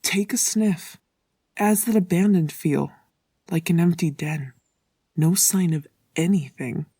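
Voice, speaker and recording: Woman playing a low-voiced, wary hunting beast. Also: takes it slowly, warm tone, husky, no echo, studio quality, exaggerated voice. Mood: uneasy. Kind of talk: dramatic comic fandub acting.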